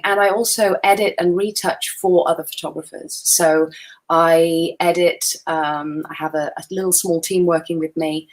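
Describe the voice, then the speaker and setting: A woman talks casually on a video call, friendly and quick to poke fun at herself. Her voice sits on the low side and carries a slight tiredness. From Wales. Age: 40s. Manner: friendly, self-deprecating, laughs easily. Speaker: guest photographer. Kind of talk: casual webinar chat.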